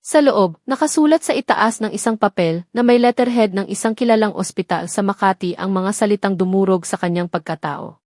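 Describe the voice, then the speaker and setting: Female narrator for scripted romantic drama, medium pitch, dry recording. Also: fairly quick, darkish tone, no room sound.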